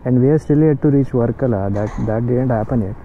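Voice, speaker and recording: A man's voice: medium pitch, a little fast. A man riding a motorbike along the coast and chatting casually to his viewers, chuckling often. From coastal Karnataka, India. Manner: relaxed, chuckling often, addresses viewers as guys. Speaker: motorcycle vlogger. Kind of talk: casual vlog chat while riding. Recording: dull lo-fi audio with loud road and engine noise.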